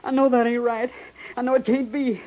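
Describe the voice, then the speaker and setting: An actor playing a frightened young army deserter, pleading and stammering, with a voice. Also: male voice, very high voice, flat delivery, a little fast. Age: young adult. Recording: tinny old radio broadcast.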